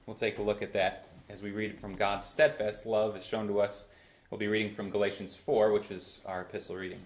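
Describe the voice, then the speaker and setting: A young man in his twenties preaching a sermon from a church pulpit, with bursts of emphasis and slow, drawn-out phrases. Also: on the low side, level tone. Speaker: pastor. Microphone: far camera in a roomy church. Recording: echoey church room.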